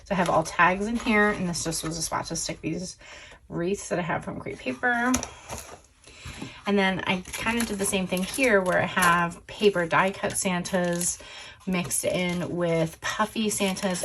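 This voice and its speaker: Woman crafter, a bit hoarse, chatty and rambling, slightly low voice, clear background noise on a home recording. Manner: chatty, rambling, lots of fillers and self-corrections. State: hoarse, recovering from illness. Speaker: scrapbooking crafter showing supplies. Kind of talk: casual show-and-tell chat.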